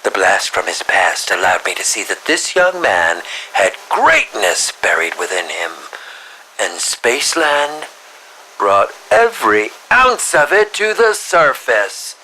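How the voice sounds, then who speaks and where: An actor voicing a melodramatic, sinister film director villain in a video game, drawing out his lines and dropping into breathy whispers. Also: lowish voice, big pitch swings, sharp tone, slight background noise, full character voice, rough recording. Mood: gloating menace. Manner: melodramatic, drawn-out delivery with breathy whispered drops. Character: theatrical, sinister mad male film director villain, grieving and menacing. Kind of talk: scripted game villain monologue.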